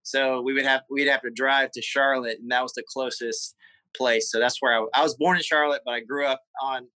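Southern man in his thirties, bright tense voice, dry close sound, interview guest recalling his childhood. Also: mid-range voice, fairly even intonation, no room sound, poor audio. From South Carolina. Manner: halting, repeats words while recalling memories. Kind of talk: casual interview conversation.

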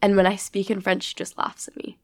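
Young American woman, chatty and gravelly. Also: mid-range voice, monotone, fairly quick, no room sound, clean studio sound. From USA. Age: young adult. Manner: chatty, many "like" fillers. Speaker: guest. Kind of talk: casual conversation.

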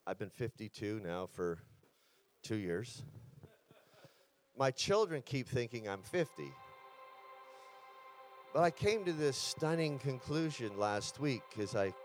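Slow-speaking pastor in his fifties. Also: medium pitch, big pitch swings, faint music behind, rough recording. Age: 50s. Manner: builds to loud fervent declarations in prayer. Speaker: guest pastor. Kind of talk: sermon and prayer.

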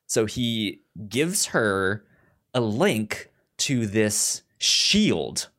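A man co-hosting a podcast in casual conversation, halting and often repeating the first sounds of words. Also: lowish voice, very animated, a bit bright. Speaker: podcast co-host. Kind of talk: casual TV recap discussion.

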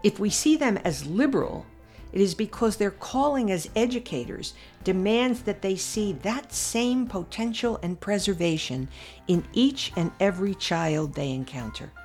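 A woman commentator reading her own essay aloud in a measured, deliberate way with emphatic pauses. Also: medium pitch, lively intonation, a little mellow, soft background music. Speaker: podcast commentator.

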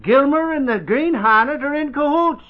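An actor playing an excitable, folksy, blustering male newspaper reporter in an old-time radio drama. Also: extremely high pitch, normal speed. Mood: indignant. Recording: muffled old radio broadcast.

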